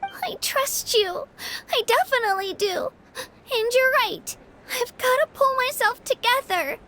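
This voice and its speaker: A woman playing an anxious, sweet but determined little girl fighter in a video game, speaking in a halting, earnest way. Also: extremely high pitch, expressive, sharp tone, faint music behind, exaggerated voice. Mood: tearful, resolving.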